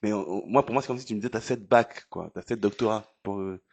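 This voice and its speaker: A man's voice: lowish voice, quick pace, darkish tone. A man hosting an interview, asking questions in a playful, curious, tongue-in-cheek way. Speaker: interviewer host. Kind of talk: casual interview chat.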